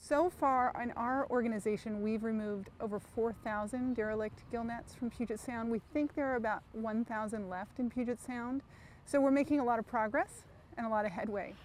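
Woman explaining over clear engine noise. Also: a little high, fairly even intonation, normal speed, a little mellow. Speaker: marine debris organization representative. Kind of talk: documentary interview.

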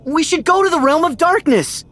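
A man's voice: extremely high pitch, expressive, moderate pace, a bit bright, faint music behind. An actor playing an eager, impulsive teenage boy hero in a video game, earnest and boyish in manner. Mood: urgent, determined. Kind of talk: video game cutscene acting.

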